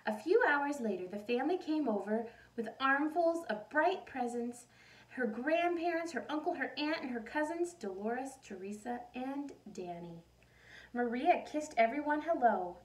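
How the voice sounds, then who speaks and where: A woman reading a picture book aloud like a teacher, animated, pausing to put questions and asides to young listeners. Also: on the high side. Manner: animated storytelling, addresses young listeners directly. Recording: voice distant from the microphone.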